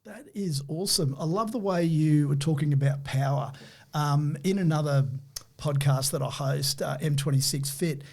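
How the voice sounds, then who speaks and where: A man's voice: on the high side, normal speed, gravelly, a little mellow. A man hosting a podcast interview in an upbeat, enthusiastic way. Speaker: podcast host.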